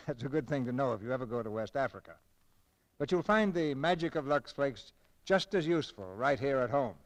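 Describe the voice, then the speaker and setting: A man's voice: medium pitch, animated, fairly quick, no room sound, poor audio. A man hosting a radio theatre in scripted 1940s style, formal and theatrical in his delivery. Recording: old radio broadcast, music behind.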